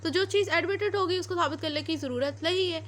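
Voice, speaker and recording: A woman's voice: very high voice, fast, a bit bright. A woman with a Pakistani accent lecturing on law, explaining informally and repetitively with everyday examples and switching into English legal terms.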